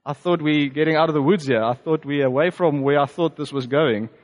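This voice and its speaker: South African man preaching in a reverberant hall. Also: on the high side. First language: Afrikaans. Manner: reflective, conversational, halting with fillers. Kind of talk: personal testimony sermon.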